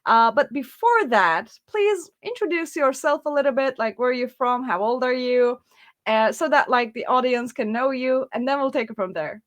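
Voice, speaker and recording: A woman's voice: sits high, animated, normal speed. A woman hosting an interview, friendly and chatty, laughing often and using many fillers. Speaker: interview host. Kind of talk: casual interview.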